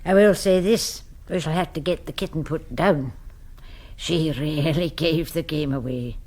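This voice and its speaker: A woman's voice: sits low, measured pace, a little mellow. An actress playing a stern Scottish governess in a radio drama, prim and darkly matter-of-fact as she serves her vampire household. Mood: grimly matter-of-fact. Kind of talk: 1970s radio drama acting.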